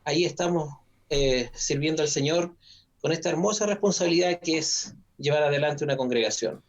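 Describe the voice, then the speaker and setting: A man, a pastor, telling his story as an interview guest in a measured way with fillers. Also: on the high side. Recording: dull lo-fi audio.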